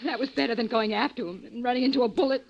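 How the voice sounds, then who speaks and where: A woman's voice: fairly high pitch, steady tone, rapid-fire, vocal fry. An actress playing a frightened, pleading young woman in an old-time radio crime drama. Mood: shaken, pleading. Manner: pleading, breathless, emotional. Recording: muffled old radio recording.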